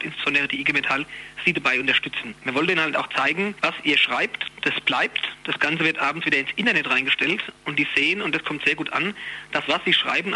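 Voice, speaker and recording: Man, spontaneous interview answers, colloquial, slightly high pitch, rapid pace. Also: sharp tone, breathy edge, rough recording. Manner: colloquial, explanatory. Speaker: trade union youth secretary, interviewee.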